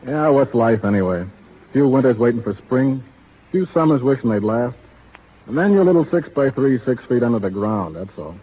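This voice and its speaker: An actor playing a wry, fatalistic rustler. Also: male voice, mid-range voice, expressive, normal speed, natural voice. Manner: easygoing, resigned drawl. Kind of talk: 1930s radio acting. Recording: tinny 1930s radio broadcast, faint hiss.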